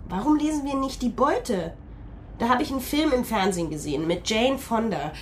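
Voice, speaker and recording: A woman's voice: on the high side, lively intonation, brisk, slight background noise, close to an everyday voice. An actress playing a bored, flippant teenage schoolgirl in a radio drama, casual in manner. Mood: whining, flippant.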